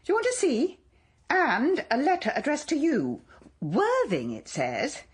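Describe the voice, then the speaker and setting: A woman playing a brisk, fussy wife in a radio play, with tart, deflecting remarks. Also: high-pitched, wildly swooping pitch, heavily acted. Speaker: radio drama actor.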